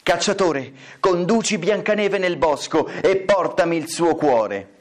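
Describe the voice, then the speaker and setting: A man's voice: high-pitched, expressive, a little slow, pitched above the performer's own voice. A man voicing a vain, bad-tempered stepmother queen in a comic fairy tale, imperious, vulgar and scolding. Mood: commanding.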